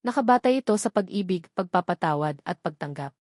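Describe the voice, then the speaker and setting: A woman's voice: fairly low pitch, lively intonation, a little fast, a little mellow, dry recording. A devoted young man stands up to his family as played by a woman narrator. The delivery is solemn and declarative, in the manner of a romance audio drama. The sound is close and dry. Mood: earnest, resolute.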